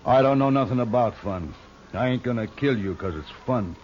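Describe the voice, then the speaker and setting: An actor playing a brutal, sardonic outlaw with an American accent and folksy, curt Western speech in an old-time radio drama. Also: male voice, medium pitch, expressive, normal speed, darkish tone, lightly acted. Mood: cold. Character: brutal, conscienceless big outlaw bullying his captives. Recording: dull old radio recording, faint noise.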